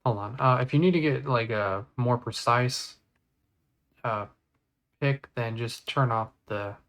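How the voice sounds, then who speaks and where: A man's voice: mid-range voice, measured pace, fairly bright tone. Casual man giving a step-by-step tutorial. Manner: step-by-step, thinking aloud. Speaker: video editing tutorial presenter. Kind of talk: casual screen-recorded tutorial.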